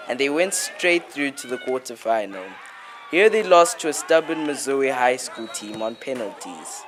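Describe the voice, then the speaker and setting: A man's voice: medium pitch, background noise. A man reading scripted news narration for a newsreel.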